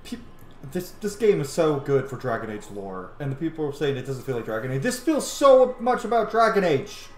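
A man's voice: a little high, big pitch swings, a little fast, darkish tone, reverberant, slight background noise. A man in his thirties giving casual playthrough commentary on a video game from a room at home. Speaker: gameplay streamer. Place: small room at home, indoors.

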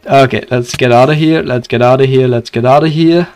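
A man's voice: medium pitch, brisk, a little mellow, a little hiss or hum. Young Belgian man livestreaming, Dutch accent. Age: young adult. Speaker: video game livestreamer. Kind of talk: casual live gaming commentary with chat.

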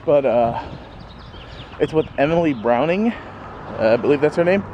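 A man's voice: on the high side, animated, measured pace, muffled recording. A man in his thirties casually rambling to a handheld camera in a sunny backyard, with lots of fillers and chuckles. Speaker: vlogger. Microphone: handheld camera at arm's length. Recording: faint outdoor background noise.

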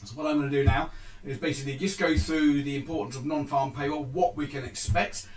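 A middle-aged man hosts a live forex trading stream, explaining the markets in a halting way with many ums and restarts. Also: fairly high pitch, fairly even intonation, poor audio. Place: small indoor room.

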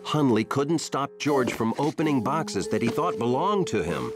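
A man narrating a children's cartoon in a scripted, gently playful storyteller manner. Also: medium pitch, big pitch swings, normal speed. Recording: cartoon soundtrack with faint music.

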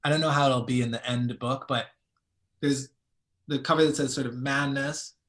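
A man's voice: mid-range voice, fairly even intonation, normal speed, fairly bright tone. A young man with a Miami accent, a poet answering questions on a video call and thinking aloud with many fillers. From Miami, United States. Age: young adult. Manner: thoughtful, hedging, many "like" fillers. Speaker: poet guest. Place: small room at home, indoors. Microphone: video call webcam.

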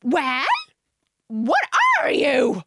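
A woman's voice: high voice, huge pitch swings, takes it slowly, heavy background noise, voice pushed up, heavily acted. A woman voicing an agitated, suspicious female pigeon from a storybook, indignant and full of complaint. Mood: scornful. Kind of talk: storybook character reading.

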